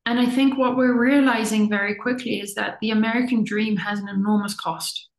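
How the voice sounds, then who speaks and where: Earnest middle-aged woman, gravelly voice. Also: a little high, flat delivery, a little fast. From born in the US, raised in Zimbabwe. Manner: earnest, repeats words for emphasis. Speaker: interview guest. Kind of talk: reflective conversational talk. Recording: echoey room.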